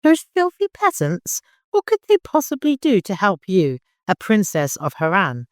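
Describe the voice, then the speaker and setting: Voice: medium pitch, huge pitch swings, normal speed, dry recording, studio quality, pitched above the performer's own voice. A narrator voicing an older woman, a devoted nurse who scolds and frets in urgent exclamations. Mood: scornful.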